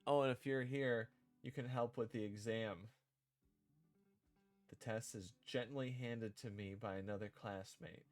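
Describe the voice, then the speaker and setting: Slow-paced man thinking out loud. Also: mid-range voice, steady tone, soft background music. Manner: halting, thinking out loud, repeating and rewording lines. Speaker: streamer developing own visual novel. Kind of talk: casual livestream, reading and drafting script aloud.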